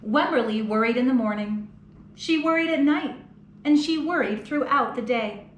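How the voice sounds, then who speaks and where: Woman reading a storybook to children, fairly high voice, expressive, roomy echo, faint background hiss. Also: normal speed. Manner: expressive, asks children questions. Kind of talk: reading a picture book aloud to children. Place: children's museum.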